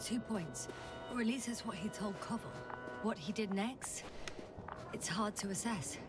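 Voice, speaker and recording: A woman's voice: mid-range voice, measured pace, far from the mic, close to an everyday voice. Woman playing a dry, focused female space scientist. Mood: matter-of-fact. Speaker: video game character. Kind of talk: naturalistic game voice acting. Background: game music.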